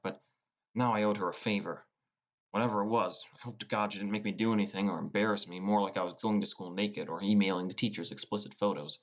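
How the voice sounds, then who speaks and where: A man reading scripted first-person fantasy fiction as an audiobook narrator. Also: lowish voice.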